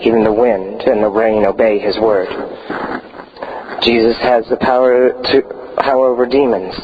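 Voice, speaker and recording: A man's voice: lowish voice, steady tone, normal speed, lightly acted. A man playing a small, devout, awestruck disciple in a church dramatic monologue, giving emphatic testimony. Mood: awed. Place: church, live performance. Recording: muffled, clearly noisy live church recording.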